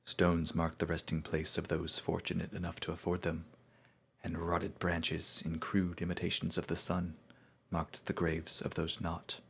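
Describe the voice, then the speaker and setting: A man giving a dramatic, scripted narration of a story in a solemn, measured storytelling manner. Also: sits low, creaky, a bit bright, slightly rough.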